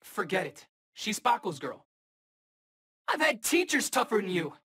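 A man's voice: high-pitched, very animated, unhurried, bright, forceful tone, slightly rough, exaggerated voice. A man voicing a cocky, wisecracking eighteen-year-old superhero in a video game, talking in slangy battle quips. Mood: cocky, teasing. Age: young adult.

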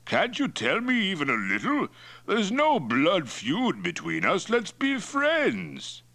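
A man's voice: high voice, wildly swooping pitch, slow, bright, forceful tone, pitched above the performer's own voice, heavily acted. A man voicing a hearty, boastful Mongol chieftain in an audiobook, blustering and jovial with an edge of menace. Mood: falsely friendly. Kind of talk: acted dialogue in audiobook narration.

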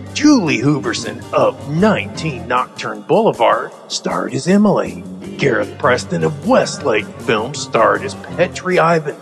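A man's voice: medium pitch, gravelly. A man announcing, reading scripted cast credits for an audio drama.

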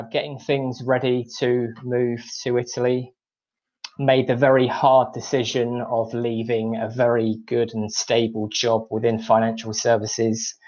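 A man in his thirties with an English accent, speaking from home on a video call, giving a measured personal account with frequent ums as a webinar guest. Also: medium pitch, darkish tone. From England. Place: home room, indoors. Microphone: video call, earphones. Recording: slightly dull video call audio.

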